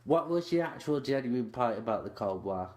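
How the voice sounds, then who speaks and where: A young man co-hosting a comedy podcast, sweary and deadpan, teasing his friend. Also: medium pitch, normal speed. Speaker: podcast co-host. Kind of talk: casual comedy podcast chat.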